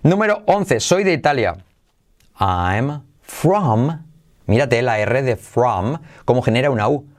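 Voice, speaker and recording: A man teaching English pronunciation, drilling phrases slowly and over again with playful asides. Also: medium pitch, expressive. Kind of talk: lively pronunciation lesson.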